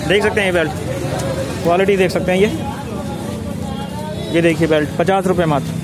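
A man's voice: sits high, fairly even intonation. Man touring a busy street market. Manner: brisk, repeats prices to camera. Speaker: market vlogger. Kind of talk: walking market tour, asking prices. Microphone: handheld phone camera. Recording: loud crowd behind. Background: crowd chatter and traffic.

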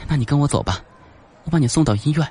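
A man's voice: fairly high pitch, animated, fast, warm tone, slight background noise, voice pushed up, lightly acted. A man voicing a kind but wary young woman in an audiobook, with a concerned, questioning manner. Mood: concerned, caring. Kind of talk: audiobook character acting.

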